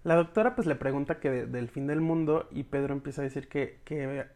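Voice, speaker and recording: The speaker has a Mexican accent and a medium-pitched voice. They retell a story informally, as if to a group of friends. The delivery is slangy and expressive, with dialogue casually acted out along the way. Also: brisk. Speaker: podcast reviewer recapping episodes for followers. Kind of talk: informal storytelling recap, addressing the audience as friends.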